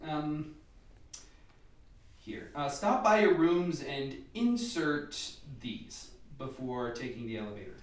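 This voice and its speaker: An actor playing an authoritative, methodical scientist leading a team in a radio play, lecturing with dry humour. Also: male voice, fairly high pitch, sing-song, slow pace, distant mic. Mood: firm, awkward. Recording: echoey room, off mic, music behind.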